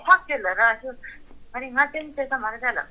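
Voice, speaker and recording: High, piercingly bright woman on a call. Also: lively intonation, fairly quick, a little hiss or hum, poor audio. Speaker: sister receiving a prank call. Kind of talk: informal phone conversation.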